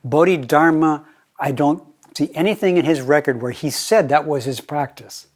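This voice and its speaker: An older American man giving a Zen dharma talk, playful and deliberate, often slowing down and repeating his phrases. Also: on the high side. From United States. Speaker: Zen teacher.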